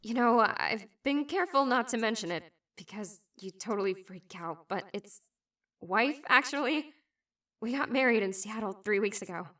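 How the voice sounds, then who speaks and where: A woman's voice: a little high, lively intonation, normal speed, fairly bright tone, far from the mic. A woman voicing a brash young woman who is sarcastic, blunt and teasing. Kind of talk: fan animation voice acting.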